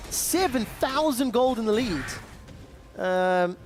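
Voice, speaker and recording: A man's voice: extremely high pitch, lively intonation, slow pace. A man doing play-by-play commentary on an esports match, with dry banter between calls. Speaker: esports caster. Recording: music bed under commentary.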